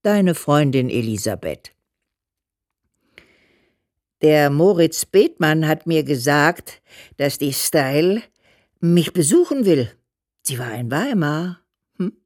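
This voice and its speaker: A homely elderly woman in a radio drama, frank and motherly as she voices her letter. Her voice is very low for a woman, and she takes her time over each deliberate phrase. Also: very animated, darkish tone, no echo, clean studio sound, exaggerated voice. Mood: musing. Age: older. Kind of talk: German radio play, literary letter reading.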